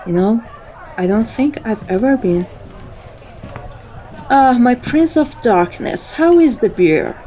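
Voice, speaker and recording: A woman's voice: on the high side, animated, takes it slowly, pauses often, mellow, some chatter behind, rough recording. A woman playing a playful, seductive vampire in an ASMR roleplay, with a teasing, coaxing and intimate manner. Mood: soft, wistful. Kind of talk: scripted ASMR roleplay acting.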